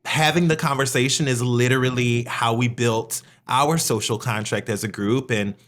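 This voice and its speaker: A man co-hosting a teacher podcast over a video call, talking loudly and animatedly into a desk mic with frequent laughs. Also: mid-range voice, moderate pace. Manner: loud, animated, laughs often. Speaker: podcast co-host, former teacher. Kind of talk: casual video-call chat. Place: home room with lamp and plants.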